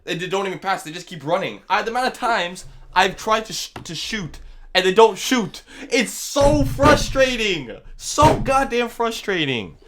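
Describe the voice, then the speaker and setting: A young man on a home mic, co-hosting a loose gaming podcast. His voice is bright and swings widely in pitch as he rants and swears his way through a complaint. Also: normal speed. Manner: animated, ranting, swears freely. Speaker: podcast co-host. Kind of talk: casual gaming chat.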